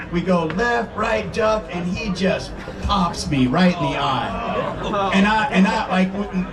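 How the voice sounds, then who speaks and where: A man in his fifties on a screening panel, telling lively stories to an audience with frequent laughs. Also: high voice. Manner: animated, laughing storyteller. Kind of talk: storytelling to an audience. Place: screening event before a crowd. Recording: distant mic, crowd noise.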